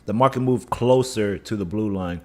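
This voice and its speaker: Guy with a lowish scratchy voice, dry mouth, rambling thru trading charts on a live stream. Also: moderate pace, dark tone. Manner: thinking aloud, restarts, hedging. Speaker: livestream trading host. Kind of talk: casual live chart analysis.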